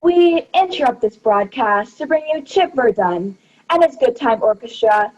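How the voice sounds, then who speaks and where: A woman's voice: on the high side, lively intonation, normal speed, darkish tone, dull audio, soft background music. A teenage woman playing a showy swing-era radio announcer in a radio play, emphatic and theatrical. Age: late teens. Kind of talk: 1930s radio announcer acting. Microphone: headset on a video call. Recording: crowd noise behind.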